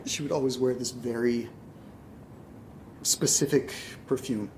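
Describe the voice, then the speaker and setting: Casting: male actor as haunted, grieving guy for horror audio drama; slow, halting, naturalistic, over noisy room ambience. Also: medium pitch, steady tone, a little mellow, close to an everyday voice. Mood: haunted, subdued. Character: Nate, shaken grieving man haunted by strange events.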